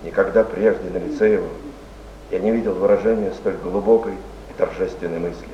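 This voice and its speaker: An actor playing a solemn, grieving nineteenth-century friend in a deliberate dramatic monologue on stage. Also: male voice, low-pitched, fairly even intonation, lightly acted. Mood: grave, grieving. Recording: noisy, muffled, echoey stage recording.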